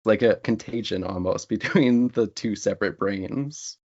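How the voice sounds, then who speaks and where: A man's voice: on the low side, a little slow, a little mellow. A man speaking as a writer guest in a casual, reflective and chatty panel conversation. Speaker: interview guest, writer and playwright. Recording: dull lo-fi audio.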